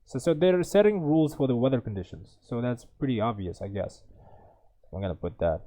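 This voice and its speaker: A man's voice: medium pitch, lively intonation, fairly quick, warm tone. A young man tutoring, reading an exam passage aloud with many stumbles and self-corrections, then thinking through the answers. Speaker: IELTS tutor.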